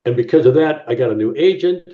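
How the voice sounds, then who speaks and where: A man's voice: medium pitch, expressive. A middle-aged man, an actor, chatting casually in an interview and often repeating words as he gets to his point. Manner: halting, repeats words as he talks. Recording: roomy, distant, dull call audio.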